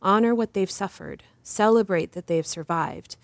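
A woman acting as an assured advocate interviewed in an audio drama, explaining things in a measured, didactic way. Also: mid-range voice, no echo, lightly acted. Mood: composed, instructive. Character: composed, assured female advocate explaining justice reform. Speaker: interview guest.

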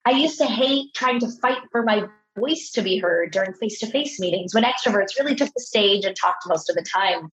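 A woman's voice: medium pitch, expressive, brisk, hardly any pauses, a bit bright. Earnest woman telling workplace stories. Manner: earnest, anecdotal, repeats words when starting. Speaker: author and guest. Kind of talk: conversational interview storytelling. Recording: slightly dull, lo-fi audio.

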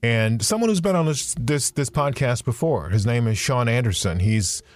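A man hosting an interview podcast, speaking in a measured, deliberate way. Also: mid-range voice, animated, no echo. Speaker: podcast host.

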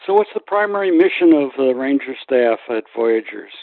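A man's voice: mid-range voice, expressive, moderate pace, no echo. Male radio interviewer, thin phone-like sound. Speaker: radio program host and interviewer.

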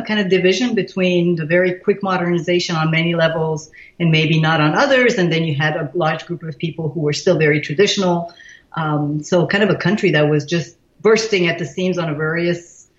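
A woman in her sixties from Iran, a guest in an interview, thoughtful and pausing with many fillers and restarts. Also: lowish voice, roomy sound, muffled recording. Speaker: guest, leadership expert. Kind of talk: casual interview.

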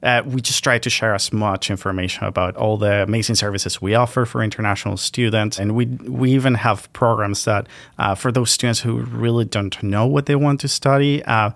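A man from Venezuela working as a university recruiter, answering questions in a polite, measured way. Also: on the low side, steady tone, vocal fry, a bit bright, no echo. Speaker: international recruitment officer. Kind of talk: guest answering interview questions.

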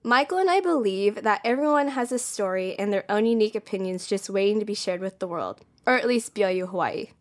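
A woman's voice: mid-range voice, expressive, fast talker, few pauses. Young woman, from California, college podcast co-host, bubbly, casual. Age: young adult. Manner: bubbly, enthusiastic, frequent "like" and laughs.

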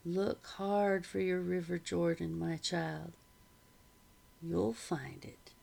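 A woman voicing a kindly, wise old grandfather in a storybook reading, speaking slowly, quietly and deliberately. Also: on the low side, fairly even intonation, gravelly, exaggerated voice. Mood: gentle, wise. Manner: slow, quiet, deliberate delivery.

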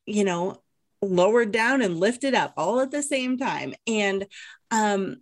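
A woman's voice: mid-range voice, animated, slow pace. A woman hosting a podcast in a conversational style, speaking in an affirming, enthusiastic way. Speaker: podcast host.

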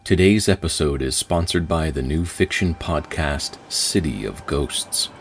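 A man's voice: a bass voice, unhurried, soft background music. A man reading a scripted sponsor message as a podcast host. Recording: clear ambient noise behind voice.

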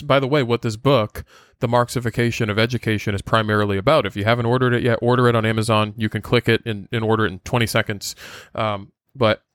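Man, Portuguese first language, mid-pitched, earnest lecturing podcast host, dry close-mic sound. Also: dry recording.

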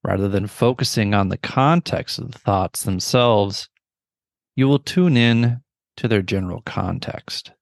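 A middle-aged man guides a meditation in a low voice with plenty of gravelly creak. His phrasing is measured, gentle and instructional, broken by pauses. The recording is dry and close, with very little room sound. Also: no room sound.

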